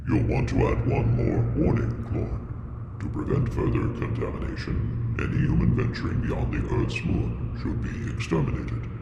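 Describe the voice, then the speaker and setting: A man playing a ruthless, cautious alien superior in an audio drama, with a breathy rasp and a formal, ominous manner. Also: normal speed, close to an everyday voice. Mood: grave, ominous. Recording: muffled, echoey, very noisy.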